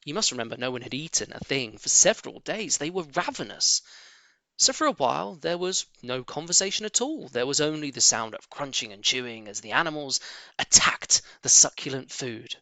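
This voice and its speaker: A man reading a children's storybook aloud in an expressive, theatrical style. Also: mid-range voice, a little fast, dry recording. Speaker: audiobook reader. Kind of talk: animated scripted narration of a children's storybook.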